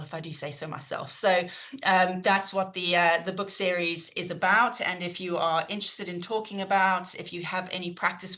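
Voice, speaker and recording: A woman's voice: fairly low pitch, pushed and bright, poor audio. A middle-aged woman with a South African accent, chairing an online session and introducing the speakers in a chatty way, with lots of fillers and restarts.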